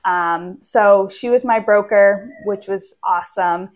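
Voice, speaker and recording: A woman's voice: mid-range voice, takes it slowly. A young woman from Maine, a real estate agent answering interview questions in a casual, chatty way with lots of fillers.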